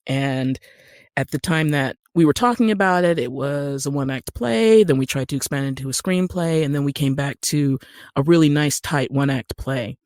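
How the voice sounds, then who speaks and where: A woman's voice: deep voice, moderate pace, darkish tone, no echo. American woman, middle-aged, close dry recording, casual storytelling, wry and self-deprecating. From Utah, United States. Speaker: podcast guest, actor and writer.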